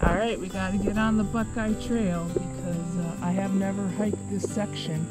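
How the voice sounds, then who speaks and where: A middle-aged woman chatting casually on a hike by a lake and along wooded trails, halting and restarting as she explains. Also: mid-range voice, steady tone, loud music behind the voice, rough recording. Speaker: vlogger on a hike. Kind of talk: casual outdoor chat. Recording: outdoor camera audio, insects and breeze behind.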